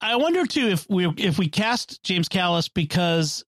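Higher-voiced man, chatty and chuckling. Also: sing-song, moderate pace, a bit bright, dry recording, clean studio sound. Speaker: podcast co-host. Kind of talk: casual discussion.

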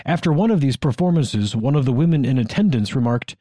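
A man's voice: medium pitch, lively intonation, brisk, warm tone, no echo. A man with an American accent, co-hosting a history podcast with scripted but conversational narration. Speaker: podcast co-host.

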